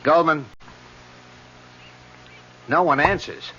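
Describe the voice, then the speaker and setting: An actor playing a brisk, matter-of-fact police lieutenant in an old-time radio mystery. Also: male voice, on the high side, sharp tone, slight background noise, full character voice. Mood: brisk, puzzled. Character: brisk male police lieutenant, gruffly friendly. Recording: dull lo-fi old radio recording.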